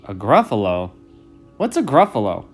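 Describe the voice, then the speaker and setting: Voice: mid-range voice, wildly swooping pitch, moderate pace, breathy edge, faint music behind, voice pushed down, full character voice. A storyteller voicing a sly but easily frightened male snake from a children's tale. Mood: coaxing.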